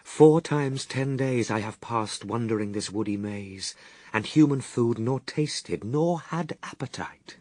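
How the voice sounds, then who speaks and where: An actor playing a hungry, steadfast Christ in a radio verse drama, musing in a measured, restrained, introspective way. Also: male voice, medium pitch, darkish tone, dry recording, lightly acted. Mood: pensive, resolute. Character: Christ, hungry, patient, steadfast man fasting in wilderness. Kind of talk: classical radio verse soliloquy.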